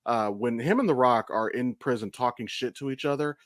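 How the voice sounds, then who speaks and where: A man with a medium-pitched voice talks as a podcast co-host. His delivery is casual and blunt, full of enthusiasm and swearing. The sound is close and dry. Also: a little fast, no room sound. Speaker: podcast co-host and amateur voice actor.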